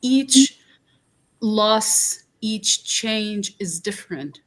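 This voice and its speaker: A woman from Iraq, a guest on a talk podcast, speaking slowly and earnestly with many pauses and fillers. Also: mid-range voice, expressive, low-quality recording.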